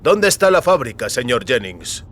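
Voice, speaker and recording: A man's voice: mid-range voice, big pitch swings, moderate pace, a bit bright. A man playing a stern government security officer in audio fiction, pressing with clipped, insistent questions. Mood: stern, probing.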